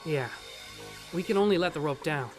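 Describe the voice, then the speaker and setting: A man's voice: fairly high pitch, animated, moderate pace, soft background music, natural voice. A young man playing a casual, flippant male college student in an amateur game dub. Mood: casual, focused. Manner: casual, slangy. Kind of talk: amateur visual novel game dub acting.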